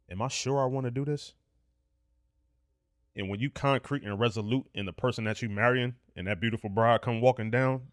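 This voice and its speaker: A man's voice: on the low side, dry recording, clean studio sound. A man in his thirties co-hosting a podcast, talking candidly and emphatically, swearing freely in casual chat. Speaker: podcast co-host.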